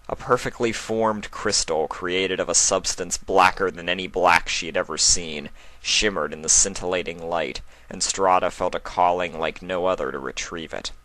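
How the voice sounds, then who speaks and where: A man's voice: sits low, pushed and bright, no room sound, poor audio. A man reading a fantasy story aloud as its narrator, in a dramatic scripted style. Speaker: fan fiction narrator.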